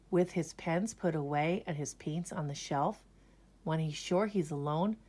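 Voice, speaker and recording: A woman reading a children's story aloud in a rhythmic, expressive way, with dramatic emphasis on key words. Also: sits low.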